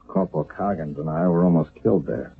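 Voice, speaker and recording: An actor playing a weary, earnest army sergeant giving a tense report in an old radio drama. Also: male voice, sits low, a little fast, vocal fry, husky, narrow, tinny audio, exaggerated voice. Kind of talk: 1970s radio drama acting.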